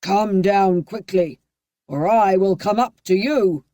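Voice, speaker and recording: A woman reading the part of a cruel, menacing husband, drawing out his threats slowly and heavily. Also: deep voice, dark tone, no room sound, clean studio sound.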